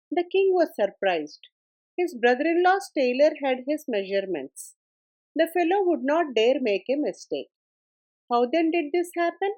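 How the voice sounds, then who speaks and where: High-voiced woman storyteller. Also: darkish tone, low-quality recording. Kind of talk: scripted story narration.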